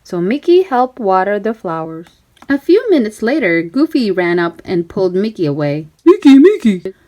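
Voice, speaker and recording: A woman reading a children's story aloud in an animated, emphatic, child-directed way. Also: medium pitch, moderate pace. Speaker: storybook reader. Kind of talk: expressive children's read-aloud narration.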